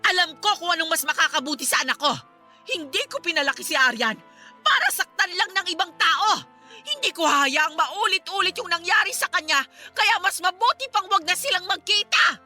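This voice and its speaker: An indignant, protective middle-aged Filipino mother in a radio drama. Her voice is very high and very sharp, with forceful, accusing delivery over soft music. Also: expressive, a little fast, heavily acted. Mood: indignant, resolute.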